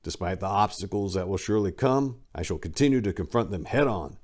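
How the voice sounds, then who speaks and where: A man's voice: low voice, lively intonation, a little fast, a little mellow. A man reading a memoir aloud as a dramatic book reading, with an emphatic, declamatory delivery. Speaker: reader of a memoir.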